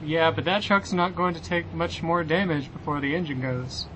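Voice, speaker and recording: A man acts out an exasperated magician brother on lookout in an audio comedy. He banters in an everyday, slightly high voice with faint ambience behind. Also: fairly even intonation, moderate pace, sharp tone, slight background noise, rough recording. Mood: bantering. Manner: conversational, bantering. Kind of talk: audio comedy drama acting.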